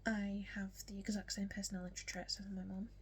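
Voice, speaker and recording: A young woman speaks with a strong Yorkshire accent in a casual, whispery get-to-know-me vlog, full of ums and the odd hiccup. Her pitch stays flat and even. A faint noise sits under her voice. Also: brisk. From West Yorkshire, England. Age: young adult. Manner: soft, frequently whispery delivery with many ums. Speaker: vlogger.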